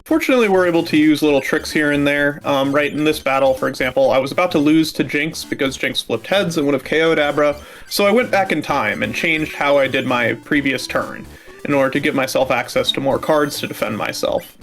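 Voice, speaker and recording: A man's voice: a little high, fairly quick, a bit bright, soft background music. A male speedrunner casually explaining his strategy as he plays. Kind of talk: casual gameplay commentary.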